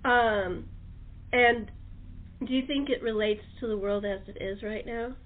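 A woman's voice: a little high, measured pace. A woman hosting a casual, informal interview chat about her creative work. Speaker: host, radio-play writer and actor. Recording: muffled phone-line quality.

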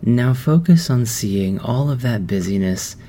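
A man guiding a meditation in a slow, soft, soothing voice with long pauses. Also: medium pitch, expressive, background noise. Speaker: meditation guide. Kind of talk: guided meditation. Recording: music underneath.

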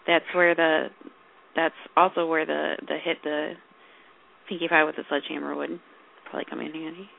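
A woman co-hosting a podcast in casual chat, rambling with many fillers and restarts, her voice going hoarse. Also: sits low, flat delivery, moderate pace. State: losing her voice, coughing. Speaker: podcast co-host. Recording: tinny phone-like call audio.

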